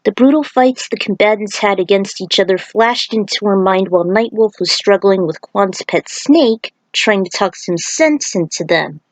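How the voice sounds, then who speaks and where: Woman, scripted story narrator, dramatized reading, mid pitch, dry recording. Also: animated, moderate pace, hardly any pauses, no echo, rough recording. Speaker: fan fiction reader.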